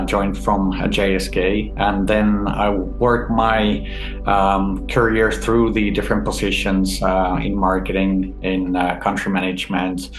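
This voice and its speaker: A middle-aged man from Finland, an executive guest, answering in a measured way with frequent fillers. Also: low-pitched. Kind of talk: interview answers. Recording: echoey room, faint music.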